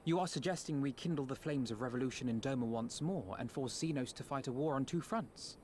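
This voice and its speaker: An actor playing a measured, erudite male strategist in a video game, formal, precise and composed. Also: medium pitch, a little mellow, natural voice. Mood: analytical. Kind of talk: dramatic video game voice acting. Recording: faint background noise.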